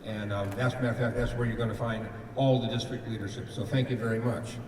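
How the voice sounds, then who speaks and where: A man giving a speech at a meeting about a charity foundation, pausing often with ums and uhs. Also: medium pitch, fairly even intonation, background noise. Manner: hesitant, many fillers. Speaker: district foundation chair. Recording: echoey hall from far off.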